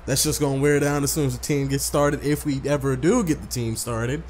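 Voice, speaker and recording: A man's voice: medium pitch, steady tone, brisk, darkish tone, a little hiss or hum. A man hosting a gaming video, reading story text aloud in an informal, slangy way with casual commentary. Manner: slangy, informal, repeats phrases when misreading.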